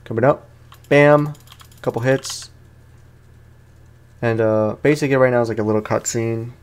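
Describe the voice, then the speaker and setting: A man's voice: medium pitch, fairly even intonation, moderate pace, smooth. A man giving casual let's play commentary on a video game, chatting to viewers in slangy language. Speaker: gaming YouTuber.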